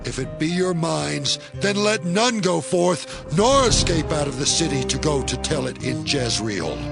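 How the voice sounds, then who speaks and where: A man's voice: on the high side, animated, unhurried, vocal fry. Rebel army captain male actor, scripture audio drama: commanding, challenging, widely swinging delivery over music. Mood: guarded, commanding. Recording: background music under the voice.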